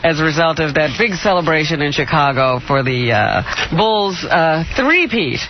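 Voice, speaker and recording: A woman's voice: sits low, animated, moderate pace, noisy background. A woman co-hosting a radio talk show, reading the news with wry amusement and ready laughter. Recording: dull lo-fi radio broadcast.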